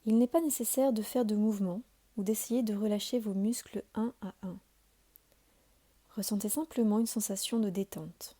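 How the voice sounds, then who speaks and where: A woman leads a guided relaxation as a yoga instructor. Her voice is warm and mellow, sitting at a middle pitch. She delivers each instruction calmly and deliberately, leaving soothing pauses between phrases. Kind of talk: guided meditation, measured scripted instruction.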